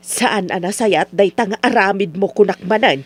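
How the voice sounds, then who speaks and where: A woman's voice: medium pitch, normal speed, no room sound, lightly acted. A Filipino woman with an Ilocano accent acting as a worried, scolding mother in a radio drama, with hum behind her.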